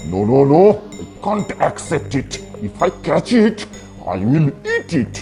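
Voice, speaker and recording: A man's voice: a little high, wildly swooping pitch, takes it slowly, heavily acted. A man voicing a greedy, easily outraged tiger uncle in a children's cartoon, theatrical and blustering. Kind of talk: children's cartoon character dialogue. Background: music behind the voice.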